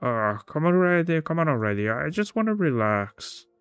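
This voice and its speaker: A man's voice: a little high, very animated, moderate pace, fairly bright tone, smooth, dry recording, soft background music, pitched above the performer's own voice. A man voicing an easygoing, friendly male feline bar customer in a visual novel, casual and coaxing. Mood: coaxing, relaxed.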